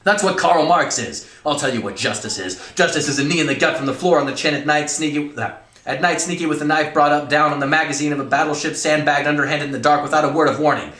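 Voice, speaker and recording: Man acting out a furious shouting colonel, high-strained. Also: fast talker, roomy sound, lightly acted. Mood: jeering, ranting. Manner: shouting, exasperated, badgering interrogator. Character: furious, bullying, big military colonel barking at cadets.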